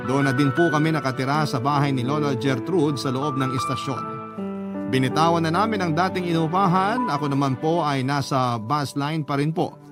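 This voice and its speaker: A man with a Filipino accent reading a letter as scripted, sentimental radio drama narration in a measured storytelling manner. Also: fairly high pitch. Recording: loud music bed under narration. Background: loud music.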